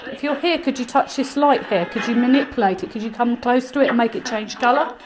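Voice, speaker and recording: A woman, calmly calling out to spirits in a small abandoned farmhouse room on a handheld camera. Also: a little high, fairly quick, music in the background. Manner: calm, coaxing questions. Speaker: paranormal investigator. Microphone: handheld action camera.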